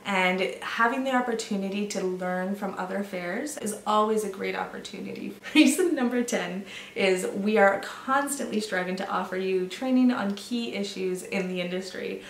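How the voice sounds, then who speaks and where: A woman in her thirties from Canada, casually listing membership benefits to camera in an enthusiastic, off-the-cuff promotional talk, recorded in a small indoor room. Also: mid-range voice. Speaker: association representative. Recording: roomy echo, slightly distant from mic.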